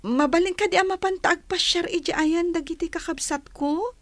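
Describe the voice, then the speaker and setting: A woman's voice: very high-pitched, normal speed, no echo. A woman playing a homesick, plaintive young granddaughter from a village in an Ilocano radio drama. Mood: earnest.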